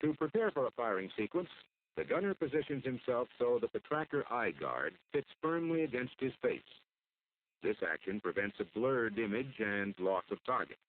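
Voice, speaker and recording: An American man delivers formal, measured scripted narration for an instructional military training film. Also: medium pitch, animated. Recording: muffled phone-like old film soundtrack.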